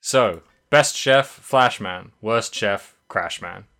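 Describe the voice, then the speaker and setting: Podcast co-host, male, lowish voice with a bright edge, rambling and hesitant. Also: takes it slowly. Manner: rambling, hesitant, lots of fillers and restarts. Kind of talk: casual chat.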